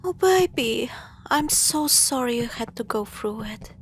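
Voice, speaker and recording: Faint noise and ambience sit behind a woman playing a young girlfriend in a spooky audio roleplay. She takes her time with the words. Her delivery is close and affectionate, moving between teasing sarcasm and hushed asides. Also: fairly high pitch, expressive, measured pace. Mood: tender, protective. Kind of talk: intimate scripted audio roleplay.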